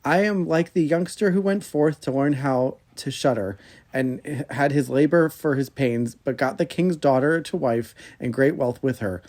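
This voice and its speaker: A man's voice: medium pitch, normal speed, a little mellow, natural voice. Man reading as a bold, scarred old soldier. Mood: unafraid. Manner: bold, plain-spoken, formal storybook speech. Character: fearless scarred older soldier, cocky and wily.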